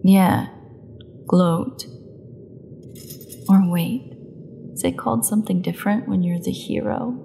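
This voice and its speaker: A woman playing a defiant captive vampire in an audio drama, snarky, mocking and bitterly flippant, with a gravelly voice. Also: fairly low pitch, noisy background, exaggerated voice. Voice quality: gravelly, creaky voice. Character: defiant, sarcastic captive female vampire under interrogation. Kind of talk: dramatic audio roleplay monologue.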